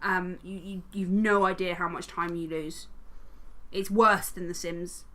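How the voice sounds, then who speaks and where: A woman's voice: on the low side, little pitch movement, measured pace, a bit bright. A woman co-hosting a podcast, chatting casually in a wry, informal way. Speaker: podcast co-host. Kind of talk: casual chat.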